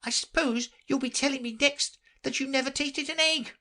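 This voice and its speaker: A woman's voice: fairly even intonation, normal speed, sharp tone. A woman voicing a fretful female pigeon that shrieks and complains. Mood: indignant. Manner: shrill, accusing, sobbing complaints.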